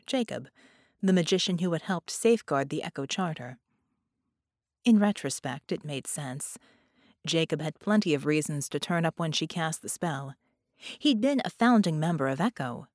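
A woman's voice: low voice, no room sound. Dry, close-sounding woman narrator. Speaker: audiobook narrator. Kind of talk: scripted fiction narration.

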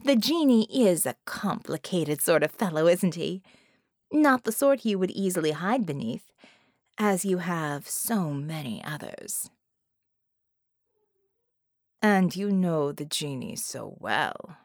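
A woman's voice: fairly low pitch, big pitch swings, a little slow, dry recording, studio quality. Woman playing a sly, smirking shapeshifter villain. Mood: smug, taunting.

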